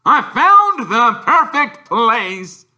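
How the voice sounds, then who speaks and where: A man reading a storybook, playing a blustering, bossy middle-aged uncle who barks his words out forcefully. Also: very high-pitched, very animated, pitched above the performer's own voice, heavily acted. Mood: eager, commanding. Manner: loud, forceful, drawn-out barking orders.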